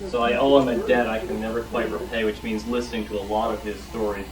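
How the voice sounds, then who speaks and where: A man's voice: fairly low pitch, little pitch movement, quick pace, recorded from a distance, a loud crowd. A man speaking informally and telling anecdotes at a show-and-tell. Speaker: club member presenting his collection. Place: club meeting room. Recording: recorded from off the mic.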